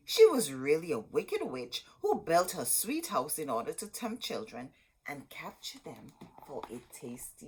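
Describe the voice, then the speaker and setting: Woman doing kids story read-aloud, slow pace, really animated swingy delivery, dramatic. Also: deep voice, slight background noise, rough recording. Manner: dramatic, with drawn-out words and emphasis.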